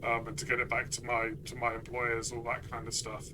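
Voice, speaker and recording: A man's voice: mid-range voice, monotone, fairly quick. A man chatting casually about his experience as a player in a tabletop game. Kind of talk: casual chat. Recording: clear background noise.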